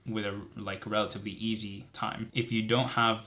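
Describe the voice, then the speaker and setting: A man's voice: lowish voice, level tone, normal speed, sharp tone. A man in his twenties from Greece chatting casually and earnestly as a student vlogger, repeating words for emphasis. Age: 20s. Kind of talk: casual direct-to-camera chat, answering assumptions.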